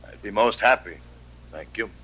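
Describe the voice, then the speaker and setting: Hard, solitary western lawman, American, terse and fatalistic, low and sharp, vintage radio drama. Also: normal speed, husky, rough recording. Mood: courteous, reserved. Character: hard, world-weary western gunfighter sheriff, cynical and solitary. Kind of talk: old-time radio western acting.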